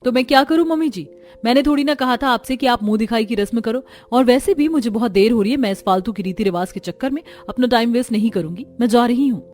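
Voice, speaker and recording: Woman playing a headstrong, high-voiced young daughter-in-law. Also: fast talker, a little mellow, natural voice. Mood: irritated, defiant. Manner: insistent, curt. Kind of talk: Hindi cartoon family story acting. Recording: music behind the voice.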